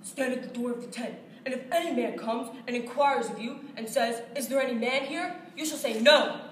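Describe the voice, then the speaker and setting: A woman's voice: high voice, moderate pace, natural voice. A woman playing a weary fugitive male army commander in a dramatized Bible recitation, demanding and emphatic. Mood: ordering, anxious. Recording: roomy, distant mic, faint noise.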